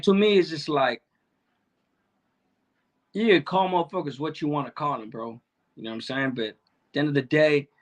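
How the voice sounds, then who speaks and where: A man's voice: medium pitch, a bit bright. A middle-aged man, chatting casually on a livestream in streetwise slang and heavy swearing, often repeating himself. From Salvadoran, raised in Chicano neighborhoods. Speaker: livestream host answering chat.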